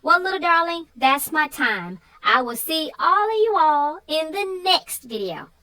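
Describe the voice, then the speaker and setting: High, bright, very animated woman. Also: a little slow. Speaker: co-host of children's read-aloud show. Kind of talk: chatty story discussion for young viewers.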